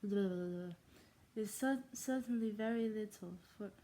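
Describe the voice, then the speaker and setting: A woman playing a dry, teasing older gentleman from a classic novel, in a clumsy put-on German and then Scottish accent, stumbling and restarting words. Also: mid-range voice, a little slow, close to an everyday voice. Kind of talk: amateur read-aloud of a classic novel.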